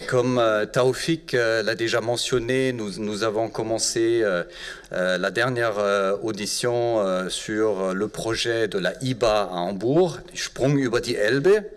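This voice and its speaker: A man's voice: fairly low pitch, slow pace. Bavarian-accented man, hesitant. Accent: German (Bavarian). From Bavaria, Germany. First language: German. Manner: hesitant, many filler sounds. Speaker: urban planning professor, event moderator. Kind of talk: public lecture introduction.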